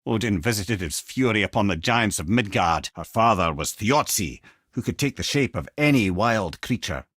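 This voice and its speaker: Witty old scottish undead head in a video game, wry storyteller, very animated pitch, dry close sound. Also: male voice, no room sound, exaggerated voice. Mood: grave storytelling. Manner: wry, eloquent, old-fashioned storytelling turns of phrase. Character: witty, worldly-wise reanimated severed head of an old storyteller.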